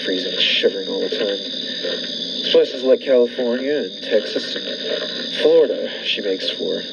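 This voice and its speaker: A man's voice: on the low side, lively intonation, moderate pace. A man playing a menacing, deranged murderous husband in a 1940s-style radio thriller, folksy, insistent and coolly sinister. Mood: calmly sinister. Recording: dull lo-fi radio-play audio, clear noise.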